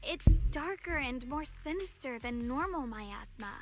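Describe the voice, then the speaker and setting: A woman's voice: high-pitched, animated, a little slow, music in the background. A woman voicing an earnest, concerned and determined young swordswoman in a video game.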